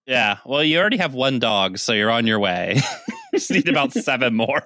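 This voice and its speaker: A man's voice: medium pitch, sing-song, normal speed, bright, forceful tone, dry recording, studio quality. A man hosting a podcast, teasing his guest with jokes in casual chat. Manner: teasing, joking. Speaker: podcast host.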